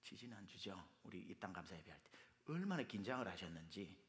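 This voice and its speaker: A man preaching a sermon as pastor, his voice hoarse from dust, speaking emphatically. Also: deep voice, sing-song, brisk. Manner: emphatic, with sung and quoted lines. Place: church sanctuary. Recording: long reverb of a hall.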